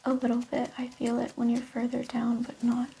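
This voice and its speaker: A woman with a whispery, breathy voice, giving short, hesitant answers as the patient in a quiet ASMR roleplay. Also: a little high, monotone, moderate pace, a little hiss or hum.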